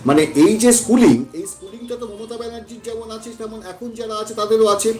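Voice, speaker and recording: A man's voice: high-pitched, a little fast, a little mellow, reverberant, soft background music. A man with a West Bengal Bengali accent commenting in a political debate, explaining and often rephrasing his points. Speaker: panel commentator.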